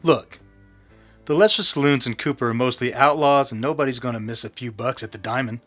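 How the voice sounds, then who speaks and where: An actor playing a confident, smooth-talking Old West gambler in a radio western, persuasive and a little needling. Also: male voice, medium pitch, brisk, a bit bright, soft background music, natural voice.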